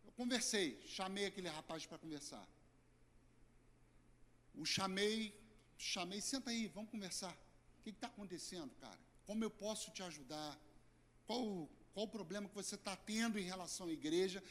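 Hoarse middle-aged Brazilian pastor, fairly high voice, earnest sermon swinging loud to soft. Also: male voice. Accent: Brazilian Portuguese. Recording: church hall reverb.

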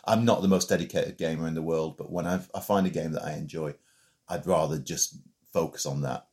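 A man hosting a film podcast, chatting in a relaxed way about what he has been playing. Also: very deep voice, fairly quick. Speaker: podcast host. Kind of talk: casual chat.

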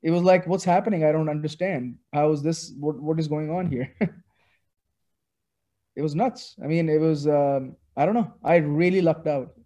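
Man, fairly high voice, talking excitedly in a casual interview. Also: normal speed. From Pakistan. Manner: animated, many restarts and fillers. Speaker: guest author.

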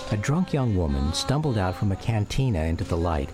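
Low-voiced man narrating, animated, over music. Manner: measured, literary storytelling. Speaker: narrator telling his family's story. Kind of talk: scripted radio documentary-drama narration. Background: loud music under the voice.

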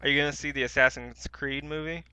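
A man's voice: fairly high pitch, fairly even intonation, fast talker, pushed and bright, clean tone, dry recording. A man co-hosting a podcast in informal, slangy conversation. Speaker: podcast co-host. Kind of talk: casual chat.

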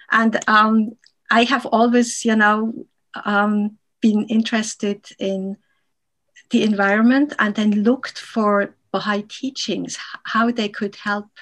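A woman from Switzerland, a piano teacher, speaking measuredly as an interview guest over a video call, with frequent ums. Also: medium pitch.

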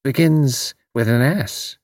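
A man's voice: mid-range voice, takes it slowly, darkish tone, dry recording, studio quality, pitched above the performer's own voice, close to an everyday voice. A man voicing a genial but overbearing older Irish woman in an audiobook, blunt, emphatic and insinuating. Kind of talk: audiobook character voice.

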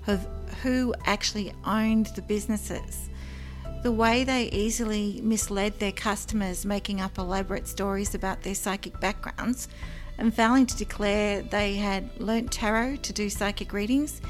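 A woman, a guest in an interview, telling of her past work in an earnest, measured way with frequent ums. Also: medium pitch. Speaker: former psychic line worker, guest. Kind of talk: interview, personal recollection.

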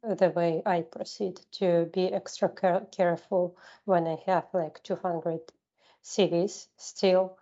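A woman giving her perspective as a panel guest in halting conversational speech. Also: fairly low pitch, little pitch movement, warm tone, lo-fi sound.

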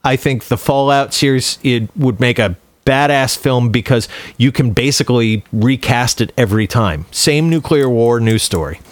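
A man's voice: mid-range voice, normal speed, hardly any pauses, dry recording. A man talking casually and bluntly, telling stories as a podcast panelist with some swearing. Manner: blunt, profane storytelling. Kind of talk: casual chat and anecdotes.

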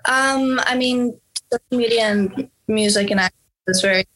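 A woman's voice: a little high, moderate pace, a bit bright, poor audio. A young woman from Norway, a musician, answering interview questions in a hesitant way with many fillers and restarts. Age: young adult.

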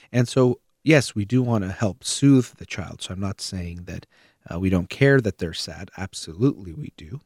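A man hosting a show, giving a reflective, measured talk on parenting with thoughtful pauses. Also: lowish voice, darkish tone, dry recording, studio quality. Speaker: host.

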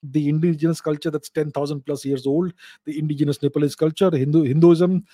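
A man's voice: on the high side, fairly even intonation, fairly quick, warm tone, no echo. A man with an Indian accent hosting a question-and-answer show, giving unscripted explanations and repeating key phrases for emphasis.

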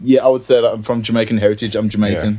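A man's voice: on the low side, steady tone, very fast, mellow. A man in casual chat, hesitant and often repeating his words. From England.

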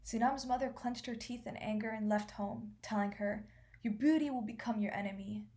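A woman's voice: fairly high pitch, normal speed, warm tone, lightly acted. An actress playing a stern woman who recounts family history as a storyteller in a radio play. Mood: stern, grave.

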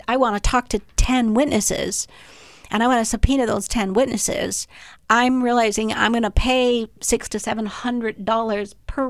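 Woman attorney guest, high voice, candid and self-mocking, dry studio sound. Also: no echo. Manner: frank, laughs at herself, repeats words. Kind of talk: casual radio interview.

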